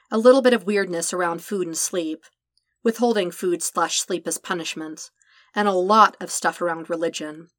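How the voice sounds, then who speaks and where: A woman with a fairly low voice reads a story aloud in calm, measured narration. The sound is dry and close, with no room echo. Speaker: podfic reader. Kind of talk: scripted narration of fan fiction.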